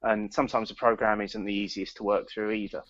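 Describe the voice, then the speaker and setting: A man co-hosting a casual podcast chat, talking in halting bursts with many restarts and repeated words. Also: on the low side, little pitch movement, fairly bright tone, no echo, dull audio. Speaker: podcast co-host.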